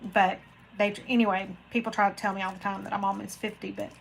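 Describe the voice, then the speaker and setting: A woman's voice: mid-range voice, level tone, fast, vocal fry, a little hiss or hum, poor audio. A woman, hosting a casual live chat and rambling to viewers by name. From Florida, USA. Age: 40s. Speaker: craft channel host reading live chat.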